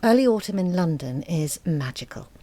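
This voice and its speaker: Low-voiced, confiding woman writer. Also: expressive, moderate pace, mellow. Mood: storytelling. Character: woman writer confiding a story draft, thoughtful, candid. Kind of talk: intimate scripted audio-drama monologue.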